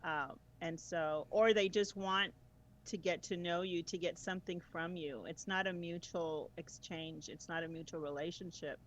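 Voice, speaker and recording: Lower-voiced woman guest, laughing easily. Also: steady tone, moderate pace. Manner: warm laughs, enthusiastic, many fillers. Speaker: guest, online tutor. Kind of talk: casual conversational chat.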